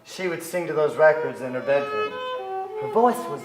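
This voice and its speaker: A man's voice: medium pitch, expressive, moderate pace, over music, natural voice. An actor playing a brooding, restless son who recalls his family in a theatrical staged reading. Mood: wistful, remembering. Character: brooding, restless adult son, narrator of memories. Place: theatre stage before an audience. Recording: echoey theatre stage, some noise.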